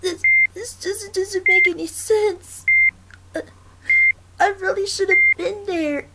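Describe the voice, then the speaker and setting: A very high, youthful male cartoon fox played by a performer speaks slowly and haltingly, full of earnest worry. He is a gentle friend in an audio drama. The recording is very noisy. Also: fairly even intonation, full character voice. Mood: shocked, guilty. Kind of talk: dramatic ASMR roleplay acting.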